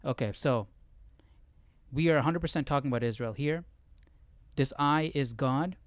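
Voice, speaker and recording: A man's voice: medium pitch, normal speed, dry recording, clean studio sound. A man hosting a casual livestreamed study session, thinking aloud informally and reading passages out as he works through them step by step. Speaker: Bible study livestream host.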